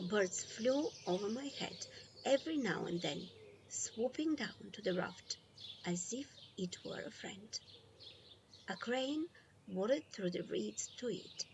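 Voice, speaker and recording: A woman narrates a children's story with deliberate, expressive phrasing. Her pace is slow and her pitch sits in a middle range. Birds, frogs and light outdoor ambience can be heard behind her. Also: noisy background. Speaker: storybook reader.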